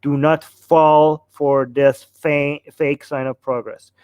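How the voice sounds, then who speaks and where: A man's voice: on the high side, little pitch movement, takes it slowly, a little mellow, clear, resonant tone, no room sound, studio quality. A man hosting a panel discussion, speaking emphatically and repeating phrases for stress. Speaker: host of a discussion show.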